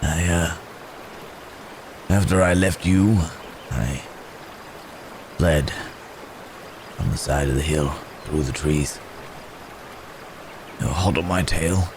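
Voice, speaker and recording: A man voicing a gentle, remorseful wounded werewolf in an intimate ASMR roleplay, speaking haltingly and formally, as if in pain. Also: rumbling low, background noise. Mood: pained, wry. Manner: halting, hesitant, soft-mannered storytelling with formal wording. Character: wounded male werewolf, gentle, remorseful, recounting his escape.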